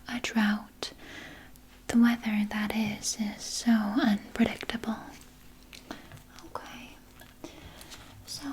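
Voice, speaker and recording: A woman playing a doting, quietly sinister vampire nurse in a gentle, breathy ASMR whisper, close to the microphone. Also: fairly high pitch, slight background noise, poor audio. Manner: slow, gentle, hushed, coaxing with veiled menace. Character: soft-spoken female vampire nurse, doting, politely sinister. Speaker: ASMR performer. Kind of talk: whispered ASMR personal-attention roleplay.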